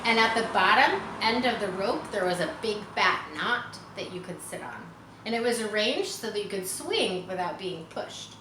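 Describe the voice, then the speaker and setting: A woman reading a children's story aloud, expressive, as if guiding young listeners through it. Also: medium pitch, normal speed. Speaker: storybook reader. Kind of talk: children's read-aloud narration. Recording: roomy echo, faint background noise.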